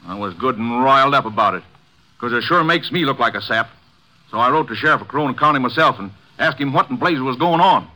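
A man's voice: medium pitch, expressive, fast talker, pushed and bright, low-quality recording. An actor in a 1950s radio drama playing an indignant, folksy working man who blusters in plain rustic grammar, on an old radio recording.

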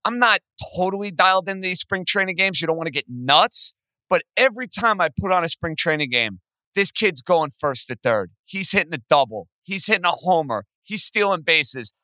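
A man with a booming voice hosting a sports podcast, excitable and emphatic in short punchy phrases. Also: normal speed, dry recording. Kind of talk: energetic sports commentary and interview.

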